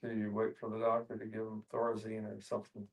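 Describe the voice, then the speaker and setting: A man asks a question in a flat, gravelly voice. It is a group discussion, and he is picked up from some distance. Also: on the low side, fast talker. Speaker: audience questioner.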